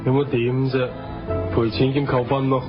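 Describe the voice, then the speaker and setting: An actor playing a worried, loyal taxi owner and blunt friend in a radio drama, with a Hong Kong Cantonese accent and colloquial delivery. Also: male voice, mid-range voice, level tone, normal speed, natural voice. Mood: exasperated. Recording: dull lo-fi radio audio with music.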